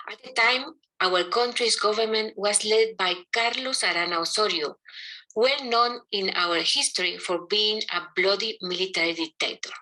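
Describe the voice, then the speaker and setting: A middle-aged woman with a Guatemalan accent reading a prepared presentation in a measured, formal manner. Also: mid-range voice, pushed and bright, poor audio. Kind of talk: scripted narration.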